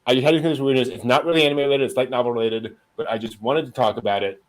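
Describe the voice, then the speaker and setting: A man's voice: medium pitch, fairly even intonation, very fast, poor audio. A man hosting a casual anime news show, chatting and joking with a wry, amused delivery.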